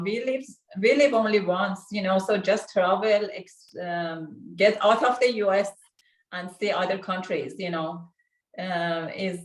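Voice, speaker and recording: A woman who grew up in Sweden, a guest on a video call interview, speaking earnestly in halting phrases with many restarts. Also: lowish voice. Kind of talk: casual interview conversation. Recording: roomy echo.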